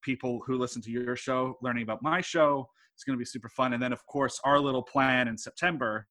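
A man's voice: mid-range voice, fairly even intonation, brisk, bright, forceful tone. A man in his twenties from Texas, a guest on a podcast chatting casually and enthusiastically, full of "like" and "uh". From Texas, USA. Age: 20s. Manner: enthusiastic and rambling, heavy use of "like" and "uh". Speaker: podcast guest, host of a film podcast.

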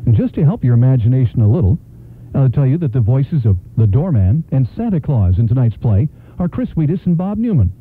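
Man, radio host, introductory patter, animated pitch swings, tinny phone-like radio band. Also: a little fast, breathy edge.

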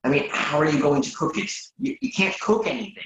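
A man's voice: on the high side, sing-song. Man playing an exasperated friend, roomy echo. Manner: hesitant, halting, incredulous. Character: exasperated, reluctant male friend, sensible but awkwardly polite. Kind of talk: readers theatre comedy dialogue.

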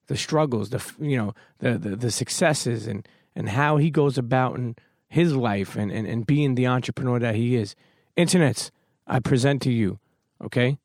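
Fired-up podcast host, dry close sound. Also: male voice, medium pitch, a little mellow, no echo. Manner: emphatic, swears casually, fired-up pep talk. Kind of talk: motivational podcast talk.

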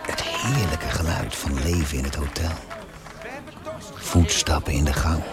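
A man playing a composed, patient hotelier in a radio drama, speaking in a measured, descriptive way. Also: very deep voice, loud music behind the voice. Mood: musing. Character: deep-voiced, composed hotelier, observant and patient. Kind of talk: radio drama monologue and dialogue.

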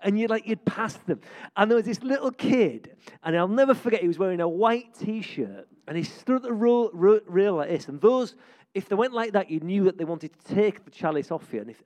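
A man's voice: high voice, fairly quick. A man, a vicar, telling funny stories in an interview in church. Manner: jokey, animated storyteller. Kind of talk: church interview, comic storytelling.

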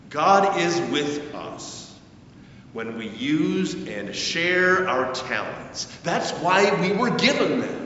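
A man preaching a sermon as a pastor, with measured, deliberate phrasing, emphatic rises and falling sentence endings. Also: sharp tone. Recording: very echoey church, distant mic, muffled, faint rumble.

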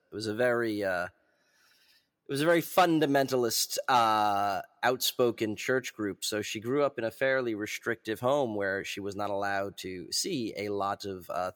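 A man's voice: fairly low pitch, moderate pace, fairly bright tone, big-room sound. A man from New York hosting an interview, who asks chatty questions peppered with filler words. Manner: chatty, drops in filler likes. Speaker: podcast host. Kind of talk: casual interview chat.

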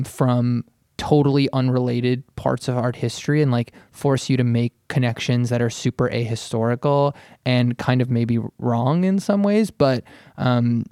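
A man's voice: medium pitch, a little mellow, clear, resonant tone, no room sound, studio quality. A man hosting a podcast in a casual, playful and wry way. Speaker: podcast host.

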